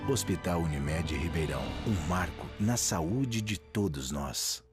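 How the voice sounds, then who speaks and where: A man reading a solemn, measured ad narration. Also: low-pitched, lively intonation. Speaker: commercial voice-over. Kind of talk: slow scripted ad narration. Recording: studio ad over loud music.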